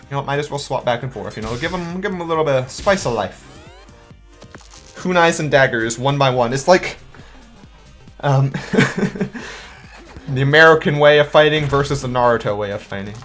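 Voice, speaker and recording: A man's voice: mid-range voice, animated, normal speed, pauses often, fairly bright tone. A chatty male let's-play host talking casually as he plays, thinking aloud and muttering counts under his breath. His voice sits in a middling register. Light music runs beneath him. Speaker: gaming channel host.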